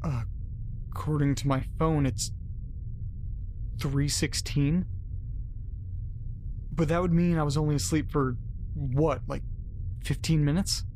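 An actor playing a hungover man in an audio drama, muttering wearily and haltingly to himself and his dog with groans and sighs. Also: a little high, fairly even intonation, a little mellow, slight background noise, poor audio. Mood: confused, pained. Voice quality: groaning, sighing. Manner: weary, self-pitying, halting muttering. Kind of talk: first-person audio drama monologue.

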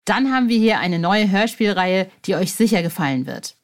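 A woman's voice: medium pitch, expressive, normal speed. A woman reading an advertisement in a friendly voice, addressing listeners directly. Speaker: ad announcer. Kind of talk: read advertisement.

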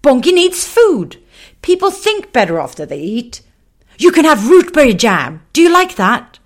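Squeaky excited little lion girl. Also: measured pace, full character voice. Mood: bossy. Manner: squealing, bouncy, eager. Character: tiny excitable female lion creature.

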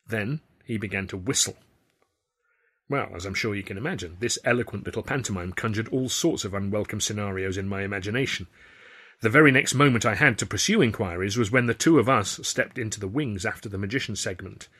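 Husky low-voiced male narrator. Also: brisk. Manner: wry, lively storytelling. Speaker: author and narrator. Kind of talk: audiobook narration, first person.

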